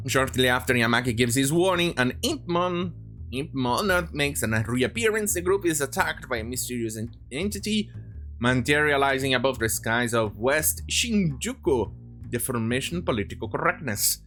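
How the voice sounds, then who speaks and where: Sarcastic commentator with wildly swinging pitch. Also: expressive, fairly bright tone, faint music behind. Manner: sarcastic, halting, sweary. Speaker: online commentator. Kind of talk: opinionated rant commentary, reading quotes aloud.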